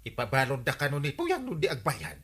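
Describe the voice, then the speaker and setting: A man playing an excitable village busybody in a comedy radio drama, exclamatory, with an Ilocano accent. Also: mid-range voice, a little fast, husky, exaggerated voice. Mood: secretive, concerned. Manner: exclamatory, comic, swooping.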